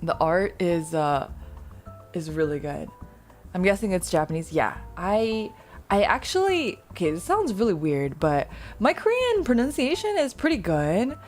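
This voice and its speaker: A woman's voice: lowish voice, big pitch swings, measured pace, soft background music. A woman streamer chatting casually with her viewers, rambling and self-deprecating, laughing often.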